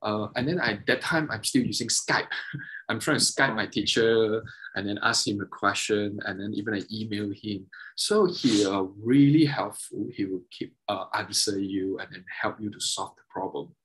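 A man in his thirties whose first languages are Mandarin and Cantonese, speaking on a video call as a guest, halting and restarting often as he explains technical details. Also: on the low side, fairly bright tone, slightly rough, rough recording. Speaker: guest, double bassist and violin maker. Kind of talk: casual interview over video call.

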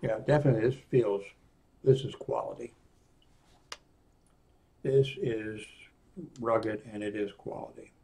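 A man in his sixties giving a casual, unscripted product review in a rambling, halting way, often reading aloud from a screen. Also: on the low side, steady tone, dark tone. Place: small indoor home office room.

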